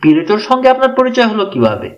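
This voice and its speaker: A man's voice: high-pitched, very animated, fast, lo-fi sound, pitched above the performer's own voice. A man voicing a curious, polite teenage boy detective in an audiobook, asking questions courteously. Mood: inquisitive. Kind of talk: audiobook character dialogue.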